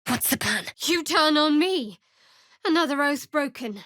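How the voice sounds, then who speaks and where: A woman playing a guarded, sardonic young female cleric adventurer in a fantasy video game, with a dry, wry, measured delivery. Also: sits high, animated, a bit bright, no room sound. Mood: earnest, then forceful, urgent.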